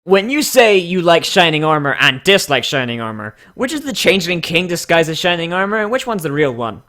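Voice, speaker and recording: A man hosting casual, mocking commentary and dramatic readings, crude, exclamatory and quick to swear. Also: fairly high pitch, big pitch swings, pushed and bright. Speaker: host reading fan characters aloud.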